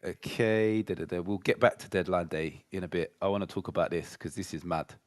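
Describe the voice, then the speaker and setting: Deep-voiced man chatting football. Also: a little fast, no echo, clean studio sound. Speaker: football podcast host. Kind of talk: casual football chat.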